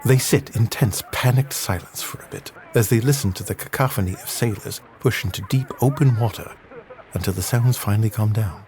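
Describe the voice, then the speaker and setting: A man's voice: on the low side, fairly quick, darkish tone. A man giving scripted, dramatic narration of an adventure story.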